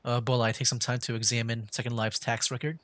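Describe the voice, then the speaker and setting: A woman's voice: extremely low pitch, little pitch movement, fast, a bit bright. A woman giving casual live commentary as she streams a game, close to the mic.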